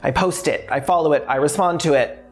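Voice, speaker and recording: A woman's voice: low voice, brisk, faint music behind. A woman hosting a video essay in a frank, sardonic way, mixing scripted points with casual, sweary asides.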